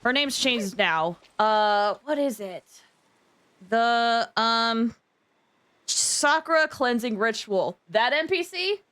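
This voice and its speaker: Woman, high voice, livestream host, casual chat, chatty, expressive, emphatic. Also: slow.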